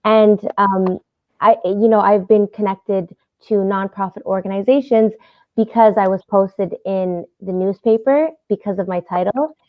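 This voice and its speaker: A woman's voice: medium pitch, fairly even intonation, unhurried, no echo, phone-quality sound. A young woman, a pageant titleholder, answering interview questions in an earnest, casual way with plenty of fillers. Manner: earnest, many fillers, easy laughs.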